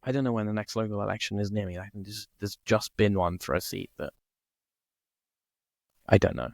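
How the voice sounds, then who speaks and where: A man from Italy co-hosting a podcast, chatting casually and thinking out loud, often checking in with "right?". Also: on the low side, steady tone, fairly quick, creaky, no echo, studio quality. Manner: thinks aloud, peppers speech with "like" and "right?". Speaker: podcast co-host. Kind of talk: casual conversation.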